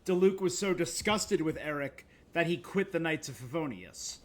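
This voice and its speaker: A man giving casual game commentary and explaining lore as a streamer, halting with pauses. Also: a little high, expressive.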